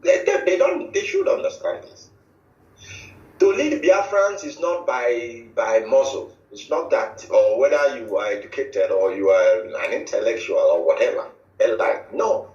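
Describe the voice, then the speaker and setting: Slow, emphatic Nigerian man on echoey line. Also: medium pitch, animated, a little mellow. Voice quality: halting, many restarts and fillers. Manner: emphatic, repetitive declarations. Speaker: movement spokesman interviewed on radio. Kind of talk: formal radio statement. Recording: echoey, distant, dull lo-fi audio.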